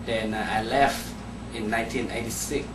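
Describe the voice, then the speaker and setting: A man in his thirties with a Vietnamese accent answers simply and haltingly, his voice at an ordinary pitch. He is picked up from a distance in a roomy space. Noise hums behind him. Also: steady tone. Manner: plain, halting, non-standard grammar. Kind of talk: interview answers. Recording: echoey room, distant mic, background noise.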